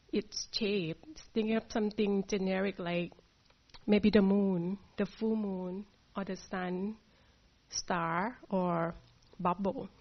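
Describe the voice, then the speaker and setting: A woman from Thailand teaching a meditation class, speaking softly and hesitantly with many pauses. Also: medium pitch. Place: meditation hall. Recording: dull lo-fi sound.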